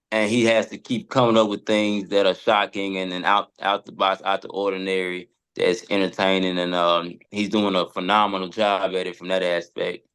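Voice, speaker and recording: Low voiced american guy on a podcast, middle aged, slangy, kinda halting, says it blunt. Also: a bit bright, clean tone. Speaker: podcast guest. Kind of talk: casual chat.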